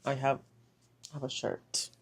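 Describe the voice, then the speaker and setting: A woman in her twenties, a native Spanish speaker, talking casually to camera with many pauses and fillers. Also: very deep voice, little pitch movement, gravelly, slightly rough, dry recording. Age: 20s. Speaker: vlogger. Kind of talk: casual talking-head vlog.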